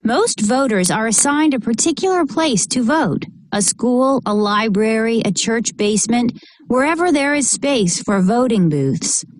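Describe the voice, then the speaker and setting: Expressive, unhurried woman reading to children. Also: on the high side, dry recording. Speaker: picture book reader. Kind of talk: children's read-aloud narration, acting out short dialogue.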